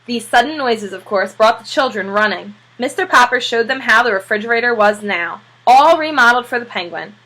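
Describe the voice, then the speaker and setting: A woman narrating a children's storybook aloud like a teacher, with expressive delivery. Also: fairly high pitch, a little fast, hardly any pauses, rough recording.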